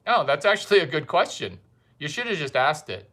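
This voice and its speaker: A man doing a rambling comic monologue, provocative and fond of repeating his catchphrases with drawn-out emphasis. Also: fairly high pitch, big pitch swings, bright, forceful tone. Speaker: comedian host.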